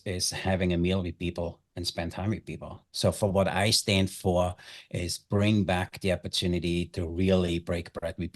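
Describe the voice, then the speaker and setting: A middle-aged man with an Austrian accent, a native German speaker, talking conversationally as an interview guest and telling stories. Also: low voice. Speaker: chef and hospitality guest.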